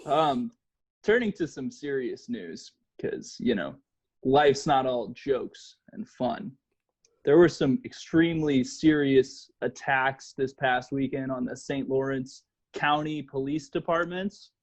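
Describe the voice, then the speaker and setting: A man's voice: medium pitch, slow. A man hosting a casual comedy podcast, talking in a joking, mock-serious manner. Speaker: podcast host.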